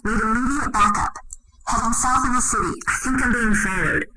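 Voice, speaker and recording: A woman's voice: fairly high pitch, fairly quick. Crackly radio, urgent female agent. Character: terse female secret agent reporting in danger. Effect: radio transmission. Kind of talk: clipped radio report in game acting. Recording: very noisy crackling radio transmission.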